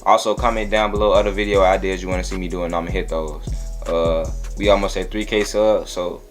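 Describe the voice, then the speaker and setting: A man's voice: low voice, fairly even intonation, a little fast, over music. A teenage man hosting a casual video-chat prank, teasing strangers and laughing often. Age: teens. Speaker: vlogger host. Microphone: phone video call.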